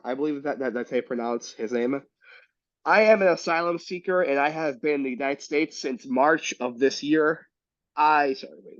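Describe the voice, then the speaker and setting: A sick man with a raspy voice, reading a letter aloud and talking in a casual group discussion with many restarts. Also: medium pitch, no room sound, muffled recording. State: sick, coughing.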